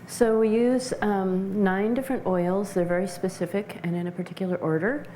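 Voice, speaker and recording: A woman giving explanatory interview answers as a practitioner, measured and halting as she searches for words. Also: mid-range voice, steady tone, warm tone, slight background noise. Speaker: interview guest, essential oils practitioner.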